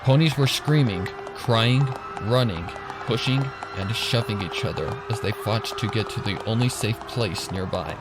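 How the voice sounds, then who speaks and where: A man's voice: fairly low pitch, measured pace, hardly any pauses, poor audio. A man reading scripted fan fiction as a dramatic narrator. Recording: loud music and effects behind. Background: loud music.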